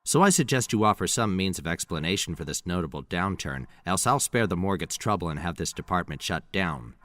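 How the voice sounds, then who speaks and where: A man's voice: sits low, animated, a little fast, a bit bright. A man acting in an audio drama as a stern, sarcastic commanding officer with a biting, commanding manner and cold anger. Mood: biting sarcasm.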